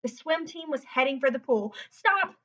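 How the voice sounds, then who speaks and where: A woman's voice: high voice, brisk, lo-fi sound. A woman reading a children's picture book aloud expressively, breaking off for chatty asides to her listeners.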